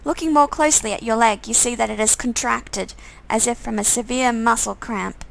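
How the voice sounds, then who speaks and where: A woman streamer narrates a visual novel aloud. Her voice is fairly high with a noticeable creak, and she reads the story in the second person. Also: moderate pace, low-quality recording.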